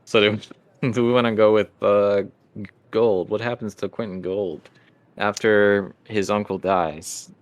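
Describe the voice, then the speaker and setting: A man's voice: lowish voice, fairly even intonation, measured pace, dry recording. A man leading a casual group discussion as the game master of a tabletop game.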